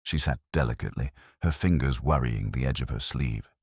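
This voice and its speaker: Very deep-voiced male storyteller. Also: a little fast, darkish tone, breathy edge, dry recording, studio quality. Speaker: audiobook narrator. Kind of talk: scripted mystery story narration.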